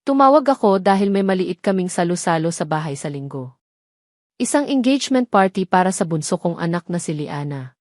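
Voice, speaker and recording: A woman voicing an older matriarch in an audio drama, soft-spoken, affectionate and earnest, with quiet authority. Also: on the low side, lively intonation, brisk, no room sound, studio quality, natural voice. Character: gentle, dignified older matriarch, warm yet authoritative.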